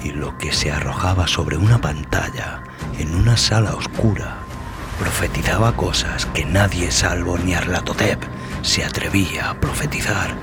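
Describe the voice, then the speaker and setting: Very deep-voiced man narrating horror over music. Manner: solemn, deliberate, with dramatic pauses. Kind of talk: dramatic scripted narration of a horror tale. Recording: voice over prominent background music.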